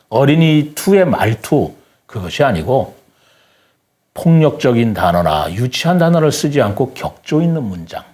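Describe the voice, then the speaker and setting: An older man speaking as an interview guest, giving his opinions earnestly in a deliberate, formal and polite way. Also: mid-range voice, a little mellow.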